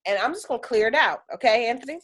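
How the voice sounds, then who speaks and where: A woman teaching an energy healing class on a video call, chatty and animated, with lots of fillers. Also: a little high, racing, husky.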